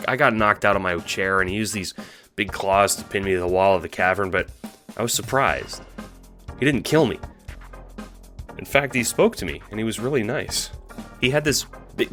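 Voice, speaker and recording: Meek young troll guy male actor, low voice, nervous halting stammer, earnest audio-drama storytelling over music. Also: a bit bright. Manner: hesitant stammer, halting and apologetic. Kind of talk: audio drama monologue, recounting adventures as a log.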